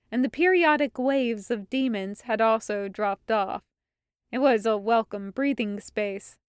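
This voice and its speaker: A woman reading fiction aloud as a narrator, with expressive storytelling delivery. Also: a little high, smooth, no room sound, studio quality. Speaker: podfic narrator.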